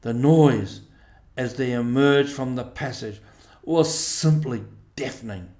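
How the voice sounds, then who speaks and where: A man narrating a storybook in a measured, expressive way. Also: mid-range voice, reverberant. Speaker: audiobook reader.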